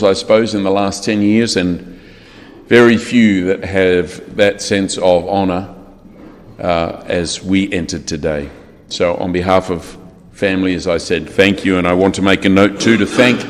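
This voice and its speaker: A middle-aged Australian man leads a funeral service, his voice very low and his delivery measured, solemn and courteous. His words ring out with the long reverb of a large hall. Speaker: funeral celebrant. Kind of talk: formal funeral address and prayer.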